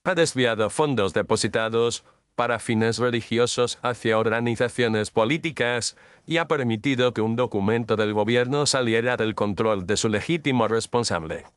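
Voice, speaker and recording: A man playing a measured, incisive gentleman detective in an audio drama, speaking formally and deliberately. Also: mid-range voice, clean tone, no echo, lightly acted. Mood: coldly accusing. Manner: formal, deliberate, coolly accusing. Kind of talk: dramatized audio story acting.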